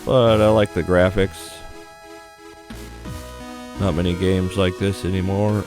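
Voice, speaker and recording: A man with a deep voice talks through a visual novel for a gaming video. His delivery is casual and offhand, loosely retelling the story. Video game music plays clearly behind him. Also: level tone, takes it slowly. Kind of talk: casual narrated first look of a visual novel.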